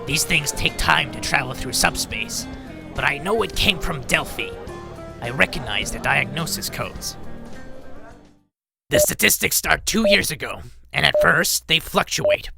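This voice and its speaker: Robot doctor, male actor: grumpy and worn, insistent, creaky voice with animated pitch, audio drama. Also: a little slow, over music, exaggerated voice. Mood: grave. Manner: exasperated, grave, insistent.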